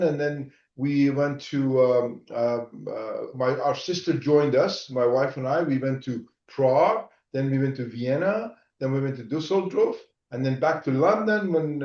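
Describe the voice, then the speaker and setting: Brief: enthusiastic rambling male co-host, fairly high voice, set back from the mic in a roomy space, dull sound. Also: distant mic. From Iran. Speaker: podcast co-host. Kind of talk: casual conversation.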